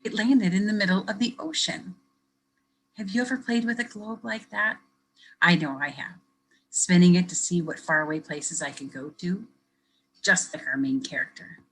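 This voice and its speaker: A woman educator reading a picture book aloud to children, pausing to ask them questions in a gentle, expressive way. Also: fairly low pitch, a little hiss or hum, poor audio. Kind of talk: children's read-aloud with teacher commentary.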